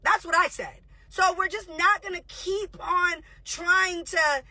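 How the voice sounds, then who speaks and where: A woman in her thirties, a reality show host, ranting heatedly and profanely on a livestream, confrontational and rapid-fire. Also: extremely high pitch, steady tone, sharp tone, no room sound.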